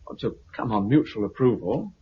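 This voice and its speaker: A man with a British accent playing a brisk, confident recruiter for a survivors' community in a 1950s radio drama. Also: lowish voice, sing-song. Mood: persuasive. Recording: muffled, noisy 1950s radio recording.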